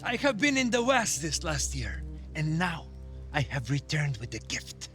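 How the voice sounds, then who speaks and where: An actor playing a commanding, far-travelled Viking jarl in a video game, speaking with measured authority. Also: male voice, a little high, big pitch swings, a bit bright, faint music behind. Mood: persuasive. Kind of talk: cinematic video game acting.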